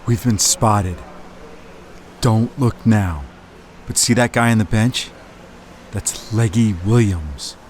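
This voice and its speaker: A man's voice: lowish voice, slow, pauses often, darkish tone. A man playing a put-upon, shrewd husband in a noir crime audio drama. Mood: urgent, low-key. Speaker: co-lead actor of audio drama. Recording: faint background noise and ambience.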